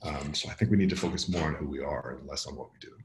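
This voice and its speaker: Deep-voiced man in an echoey room. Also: quick pace, a little mellow, a little hiss or hum. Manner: reflective, earnest explaining. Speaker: guest, author and coach. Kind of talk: podcast conversation.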